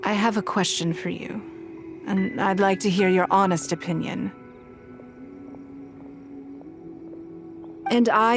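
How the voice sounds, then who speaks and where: A woman playing a composed, wealthy noblewoman leader in a video game, speaking in a measured, formal way with private grief underneath. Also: medium pitch, lightly acted. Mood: composed, probing. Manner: measured, formal, eloquent, poised. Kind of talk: scripted video game dialogue.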